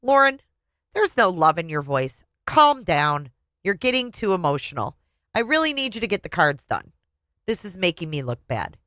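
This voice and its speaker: Woman putting on a scolding, domineering husband, animated pitch. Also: moderate pace, no echo, clean studio sound. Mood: accusing. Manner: scolding, insistent, emphatic. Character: domineering, blaming, impatient husband.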